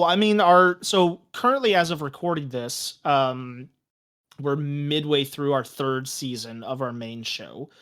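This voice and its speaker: A man's voice: medium pitch, a bit bright. A man speaking as an interview guest, chatting in a reflective, conversational way. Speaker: game master, podcast creator and guest. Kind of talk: casual interview chat.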